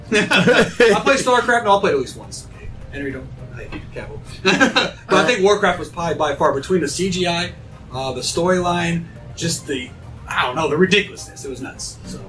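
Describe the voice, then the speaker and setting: A man's voice: a little high, expressive, normal speed, fairly bright tone, distant mic, poor audio. A man hosting a casual fan panel, chatting in a rambling, opinionated, joking way. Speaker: host of a fan discussion panel. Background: music.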